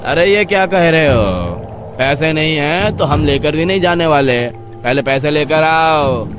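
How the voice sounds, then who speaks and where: A man voicing a gruff, greedy, resentful river boatman in a horror story. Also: fairly high pitch, a little slow, noisy background, lightly acted. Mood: angry.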